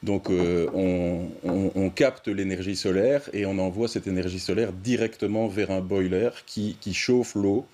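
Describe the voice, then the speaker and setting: A man, a start-up founder explaining his product haltingly, with many restarts and fillers. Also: deep voice, steady tone, mellow.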